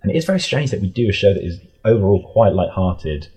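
A man chatting casually as an interview guest, in a rambling, halting way that often doubles back on itself. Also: low voice, big pitch swings, dark tone, husky. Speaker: audio drama creator, interview guest.

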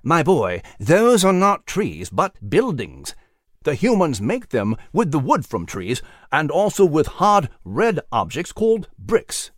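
A man plays a genteel mouse who speaks in courtly, formal phrases in a storybook. His voice rises and falls in wide sweeps and sits a little high. The sound is close and dry. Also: huge pitch swings, slow, no room sound, full character voice. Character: genteel old male mouse, proper and kindly.

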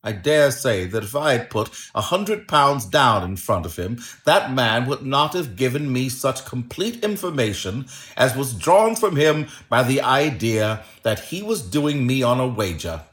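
A confident Victorian detective from a storybook, voiced by a male narrator. He speaks with courteous precision and easy authority, his mid-pitched voice moving in lively swings. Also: a little slow, few pauses. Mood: amused. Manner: assured, polite, precise.

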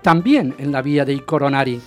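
A man's voice: mid-range voice, a little fast, a little mellow, soft background music, close to an everyday voice. An actor playing a jealous, agitated husband in a radio play, insistent and dramatic as he narrates and questions. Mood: brooding narration. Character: jealous, agitated husband recounting his hunt for a rumour. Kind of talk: radio theatre narration and dialogue.

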